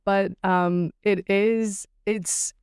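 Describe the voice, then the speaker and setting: A woman's voice: medium pitch, steady tone, very slow, a little mellow, smooth, no room sound, studio quality. Afrikaans-speaking South African young woman. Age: young adult. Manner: hesitant, many ums and likes, laughs easily. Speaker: guest athlete. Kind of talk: casual podcast chat.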